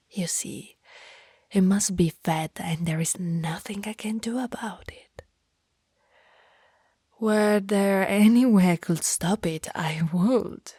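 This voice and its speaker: A woman voicing a centuries-old, sorrowful and tender female vampire in a slow, whispered ASMR roleplay with formal, old-fashioned phrasing. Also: lowish voice, a little mellow, no echo, studio quality. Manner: breathy, slow, archaic formal phrasing with sighs.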